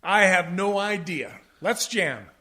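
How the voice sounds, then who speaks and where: A man's voice: sits high, animated, slow, sharp tone, exaggerated voice. An actor playing an eager, blustering jazz musician father who talks in hip slang in a comic radio play. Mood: excited, boastful. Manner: hip jazz slang, stammers when flustered.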